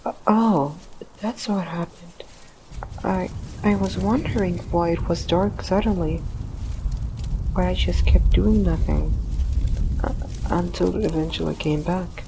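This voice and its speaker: A woman voicing a timid, slow-witted, mild monster who talks hesitantly in an audio drama. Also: on the low side, creaky, noisy background. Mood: puzzled. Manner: hesitant, vague. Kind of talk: fan audio drama acting.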